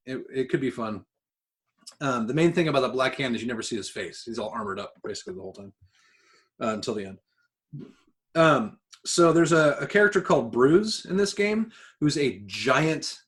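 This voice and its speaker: A man's voice: mid-range voice, fairly bright tone. Opinionated, sweary man chatting casually. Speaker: podcast co-host. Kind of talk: casual podcast chat about films and games.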